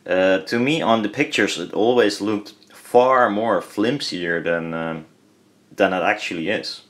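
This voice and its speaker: Low-pitched Dutch-accented man, rambling. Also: unhurried, fairly bright tone. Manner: hesitant, rambling, self-correcting. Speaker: hobbyist presenter. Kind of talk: casual hands-on product teardown commentary.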